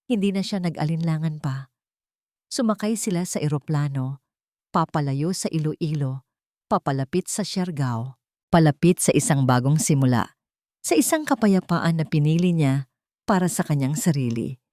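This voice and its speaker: A woman's voice: low-pitched, lively intonation, normal speed, mellow, no echo, studio quality. A woman narrating a scripted, dramatic love story as a storyteller.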